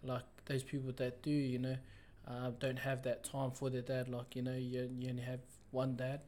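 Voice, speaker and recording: A man's voice: medium pitch, monotone, normal speed, dark tone. A young man, a youth worker talking casually with many fillers. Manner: laid-back, many fillers. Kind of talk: casual chat.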